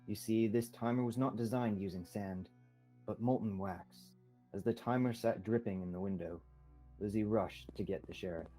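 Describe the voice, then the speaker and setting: A man's voice: lowish voice, moderate pace. A young man reading scripted narration as the storyteller of a student radio drama. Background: soft music.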